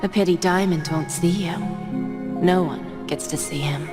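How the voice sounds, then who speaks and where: A woman playing a poised, menacing, powerful executive in a video game, speaking in a measured, teasing and partly breathy way. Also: on the low side, lightly acted. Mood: curious, probing. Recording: reverberant, with loud music behind.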